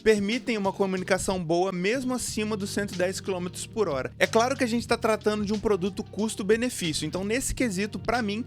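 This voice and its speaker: A man's voice: sits high, quick pace, few pauses. A man with a Brazilian Portuguese accent presenting a product review in an explanatory, informal way. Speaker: motorcycle gear review video host. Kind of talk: product review narration to camera or voice-over. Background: background music.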